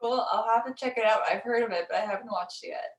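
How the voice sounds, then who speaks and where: A woman's voice: fairly high pitch, fast talker, poor audio. Gushing enthusiastic woman interviewer. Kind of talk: casual interview chat.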